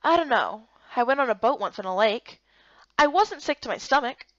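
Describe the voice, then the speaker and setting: A woman plays a young human heroine in a visual novel, curious and a bit unsure. Her high voice is plain-spoken yet lively in pitch. The sound is slightly dull. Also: normal speed, dry recording. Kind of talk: first-person visual novel narration and dialogue.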